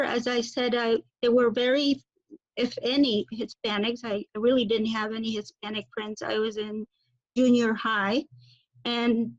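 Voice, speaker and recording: A woman from Bolivia speaking slowly and thoughtfully as a panel guest on a video call, with many pauses and fillers. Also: a little high, dry recording. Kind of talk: casual video-call discussion. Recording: dull, lo-fi call audio.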